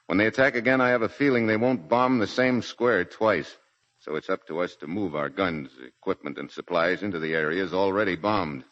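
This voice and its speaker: A low, firm-edged man's voice as a grave Marine major in a 1940s radio play. He is steady and commanding. The recording is old and muffled. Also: moderate pace. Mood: businesslike. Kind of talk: 1940s radio drama acting.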